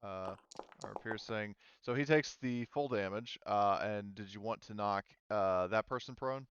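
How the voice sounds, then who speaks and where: A man with a lowish, very clear voice runs a tabletop role-playing session as game master. He thinks aloud in halting stretches. The recording is dry and slightly muffled. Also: fairly bright tone, dry recording.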